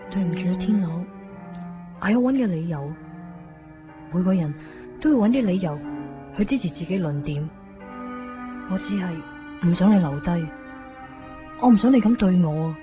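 A woman acting a conflicted young woman in a Cantonese radio drama, speaking with a Hong Kong accent in halting, introspective phrases. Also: lowish voice, expressive, lightly acted. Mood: subdued, then anxious, confessional. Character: conflicted young woman torn in love, brooding and evasive. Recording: dull lo-fi 1990s radio recording, music behind. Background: background music.

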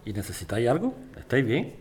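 Actor doing a spanish guy who runs the residents association, super expressive up and down voice, audio drama. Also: medium pitch, quick pace, slightly rough. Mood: friendly, flirty. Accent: Spanish from Spain. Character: irritable yet flirty male residents' association president, builder.

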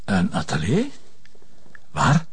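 A dogged Flemish police commissioner in a crime drama. His voice is very husky with a gravelly creak, and he probes in halting, impatient questions. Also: deep voice, huge pitch swings, full character voice. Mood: insistent. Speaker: actor in a detective drama. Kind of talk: naturalistic crime drama dialogue.

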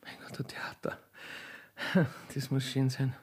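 A man's voice: medium pitch, completely flat delivery, unhurried, husky, whispered. A man playing a naive, lovestruck young Alpine girl with an Austrian accent and a shy stammer in a radio play. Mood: naive bashful. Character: naive, lovestruck young railway keeper's daughter from the Alps.

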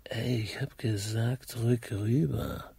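Drunk pushy lad, slow raspy voice, kinda menacing, radio drama. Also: male voice, mid-range voice, steady tone, mellow, no echo, full character voice. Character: drunk, pushy young man demanding to drive. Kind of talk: German radio drama acting.

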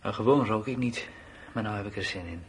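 A blunt, uneasy soldier acted by a man in a radio play. His voice sits fairly low and he talks in a plain, colloquial, matter-of-fact way. The old recording carries faint noise. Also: fairly quick, close to an everyday voice. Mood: blunt, resigned. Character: blunt, uneasy male soldier left behind at his post. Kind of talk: Dutch radio drama acting.

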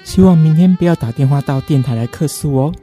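A man hosts a late-night radio show with a very dark, mellow voice. His manner is polite and soothing as he talks and reads. Faint plucked guitar plays underneath. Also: a little high, quick pace. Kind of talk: late-night radio hosting and scripture reading. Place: radio studio, live broadcast. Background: soft plucked guitar music.

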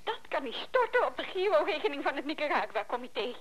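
A woman's voice: high voice, big pitch swings, fast, fairly bright tone. A woman playing a proud, wounded mistress in a radio play, scornful, curt and emphatic. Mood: scornful, hurt.

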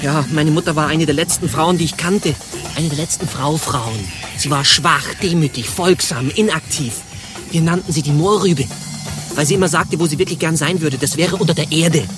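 Guy acting whiny defeated admin boss man, highish voice, radio play, music under it. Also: moderate pace, lightly acted. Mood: weary, resigned. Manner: plaintive, overwrought. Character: weak, self-pitying, defiant male administrative chief. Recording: music behind the voice.

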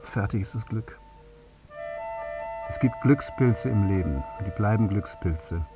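Grieving father, low voice, weary, haunted, deliberate short phrases, radio play monologue, music underneath. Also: animated. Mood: wistful, tender. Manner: sparse, deliberate, short sentences. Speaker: actor. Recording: music behind the voice.

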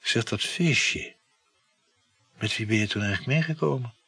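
An actor playing a reserved, evasive man humouring his lover in a naturalistic radio drama, with a husky voice and dry, hesitant delivery. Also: medium pitch, normal speed, pushed and bright, heavily acted. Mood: curious.

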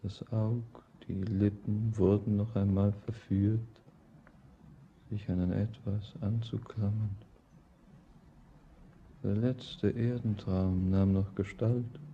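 A solemn lover in a poetic radio play, weary of the world and yearning for death. The low voice moves extremely slowly and declaims with long pauses. The recording is dull and noisy. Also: male voice, level tone, warm tone. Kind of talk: poetic German radio drama monologue.

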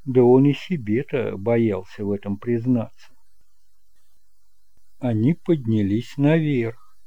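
A man narrating a detective audiobook in a measured, deliberate storytelling manner, in a homemade recording. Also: mid-range voice, dry recording, dull audio. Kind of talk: scripted dramatic narration of a detective novel.

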